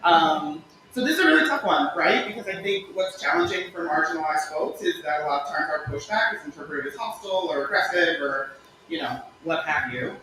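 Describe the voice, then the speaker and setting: A woman's voice: low-pitched, animated, brisk, bright, forceful tone. A woman on a panel who gives candid, practical advice and laughs easily. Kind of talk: panel discussion. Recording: echoey room with background noise.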